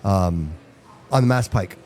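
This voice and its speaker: Animated man, low-mellow tone. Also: a little slow. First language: Portuguese. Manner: animated, rising pitch when emphatic, says dude and bro. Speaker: show host. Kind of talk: casual chat. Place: live event at a brewery venue.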